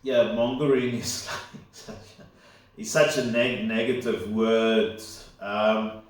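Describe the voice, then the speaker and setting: A man's voice: fairly low pitch, fairly even intonation, slow pace. A man whose first language is Afrikaans, rambling casually through a solo commentary with lots of fillers and asides. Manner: unscripted, full of fillers and asides. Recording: very echoey room, far from mic.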